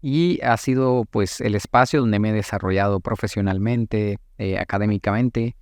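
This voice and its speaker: A Guatemalan man in his late thirties giving thoughtful, halting answers as a politician being interviewed, with formal wording. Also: on the low side, a little mellow, no echo, clean studio sound. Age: late 30s. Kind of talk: reflective interview talk.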